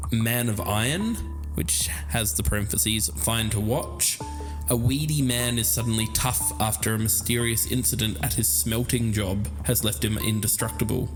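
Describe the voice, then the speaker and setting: A man's voice: on the low side, natural voice. A man playing an eager podcast co-host who investigates a mystery he believes in.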